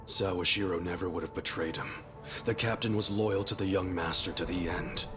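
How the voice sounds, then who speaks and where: An actor playing a defiant, loyal yakuza underdog in a video game cutscene, with rough, blunt street talk. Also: male voice, low voice, fairly even intonation, brisk, over music, natural voice. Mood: scornful. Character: loyal, hot-blooded male yakuza underdog, defiant and vengeful.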